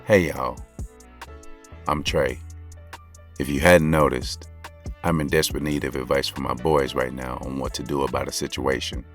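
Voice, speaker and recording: A very deep-voiced American young male basketball coach, lovestruck and full of regret. He talks straight to the listener in a slangy, intimate, confessional way, as in an audio drama, with music underneath. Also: level tone, moderate pace, long pauses. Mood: earnest, confiding. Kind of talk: first-person audio drama narration, conversational.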